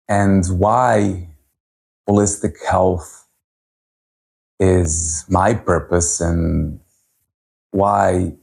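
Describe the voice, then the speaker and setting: Man in his 30s, health coach, low voice, slow earnest delivery full of pauses. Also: vocal fry, darkish tone, husky. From Serbia. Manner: earnest, unhurried, with frequent pauses and fillers. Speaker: holistic health coach and speaker. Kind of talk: personal story talk at a virtual event.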